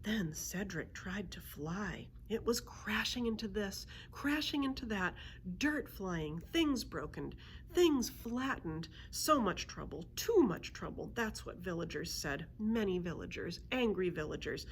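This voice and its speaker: A woman's voice: medium pitch, animated, unhurried, slight background noise. A woman voicing an anxious, clumsy, childlike male griffin from a children's storybook, fretfully repeating short simple phrases. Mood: sorrowful.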